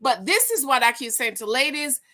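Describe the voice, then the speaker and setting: Sassy, bright-voiced woman in her forties. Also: high-pitched, expressive, normal speed. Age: 40s. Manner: sassy, chatty, addresses viewers as girl and y'all. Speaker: commentary vlogger. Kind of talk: casual gossip commentary.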